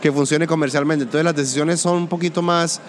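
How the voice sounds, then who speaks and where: A man from Colombia with a Colombian-Venezuelan border accent, a music producer talking through his work in a relaxed interview, pausing and restarting his sentences. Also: fairly high pitch, slight background noise. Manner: thoughtful, restarts often. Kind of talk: casual interview conversation.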